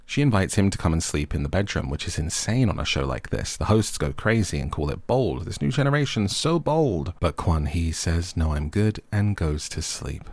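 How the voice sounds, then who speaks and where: A man's voice: deep voice, animated, moderate pace, few pauses, darkish tone, no room sound. A man hosting a scripted recap with playful, tongue-in-cheek asides. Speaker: host of a recap and review channel. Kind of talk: scripted recap narration with wry jokey asides.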